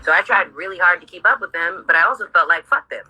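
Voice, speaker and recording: A woman with a husky voice reading her own memoir aloud informally, candid, sardonic and sweary, breaking off for asides. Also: fairly low pitch, quick pace, sharp tone, dull audio.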